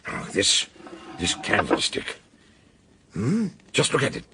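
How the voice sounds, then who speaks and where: An actor playing an eccentric, blunt, aging detective in a radio drama, with a gravelly, husky British voice and theatrical, probing manner. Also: male voice, fairly low pitch, huge pitch swings, background noise, full character voice. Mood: probing, exclamatory. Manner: theatrical exclamations, deliberate questioning.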